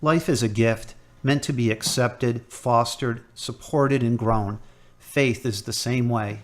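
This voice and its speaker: An actor playing a hospital chaplain who gives calm, measured counsel in an amateur audio drama. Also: male voice, mid-range voice, close to an everyday voice. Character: gentle middle-aged male priest.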